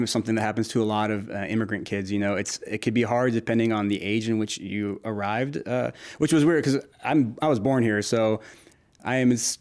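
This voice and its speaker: A man's voice: medium pitch, darkish tone. A young man from Nashville chatting as an interview guest, laughing often and restarting his sentences in a friendly, easygoing way. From Nashville, United States. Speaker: interview guest, food creator. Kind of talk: casual conversational interview.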